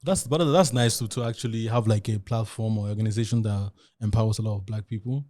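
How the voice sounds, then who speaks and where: A man's voice: fairly low pitch, fast talker, mellow. A man co-hosting a podcast, chatting casually and steering the talk with questions. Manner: inquisitive, steers the conversation. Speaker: podcast co-host. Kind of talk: casual chat.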